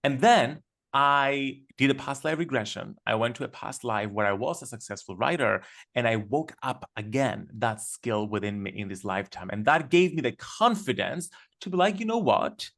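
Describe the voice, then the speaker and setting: A man's voice: mid-range voice, lively intonation, creaky, a bit bright. Enthusiastic man. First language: Greek. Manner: enthusiastic, storytelling, quick with fillers. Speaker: guest. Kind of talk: casual podcast conversation.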